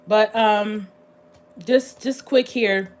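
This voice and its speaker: A woman hosting a casual livestream, chatting in a rambling, meandering way, her voice slightly hoarse and going scratchy. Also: medium pitch, steady tone. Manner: rambling, chatty, thinks aloud with frequent pauses. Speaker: livestream host.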